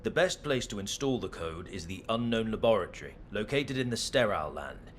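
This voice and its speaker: An actor playing a grave, calculating male schemer in a video game, speaking in a solemn, measured, deliberate way. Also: low-pitched, a little hiss or hum. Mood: urgent, commanding. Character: grave, calculating male schemer staking his life on revenge. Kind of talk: dramatic video game dialogue.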